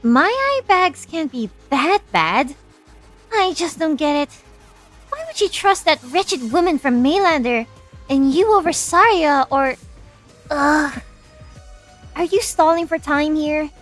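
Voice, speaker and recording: Actress as young female game-drama lab director, high-pitched, flippant, sarcastic, expressive, anxious underneath. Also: slow, pauses often, soft background music. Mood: sarcastic, frustrated. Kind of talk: fan-dub game drama acting.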